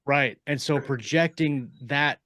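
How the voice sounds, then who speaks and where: A man on a podcast panel, explaining in casual talk with halting, deliberate phrasing. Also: medium pitch, bright, forceful tone, dry recording.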